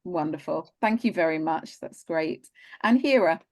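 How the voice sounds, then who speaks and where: Woman moderator, lowish voice, encouraging, video-call panel host. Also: animated, measured pace, a little mellow. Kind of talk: hosting a panel discussion.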